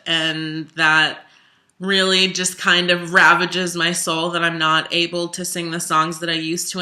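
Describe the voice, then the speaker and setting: A young adult singer in their twenties, talking openly and reflectively in a casual self-interview. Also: medium pitch. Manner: open and expressive, with frequent restarts and fillers. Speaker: singer and musical theater major talking about their own transition.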